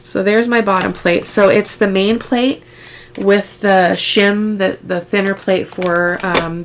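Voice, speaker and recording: Middle-aged woman, head cold, croaky and fry-heavy, chatty step-by-step crafter with faint background hiss. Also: on the low side. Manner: chatty step-by-step instructions with asides. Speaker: craft vlogger. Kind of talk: casual crafting tutorial.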